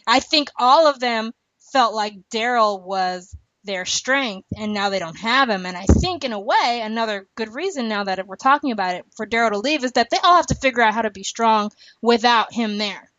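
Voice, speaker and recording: A woman chats casually as a podcast host, quick and animated, with frequent laughs. Her voice sits a little high. The recording is dull and lo-fi, with no room sound at all. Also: no room sound. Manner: chatty, laughs often, animated asides. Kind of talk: casual chat about a TV show. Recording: dull lo-fi, dry.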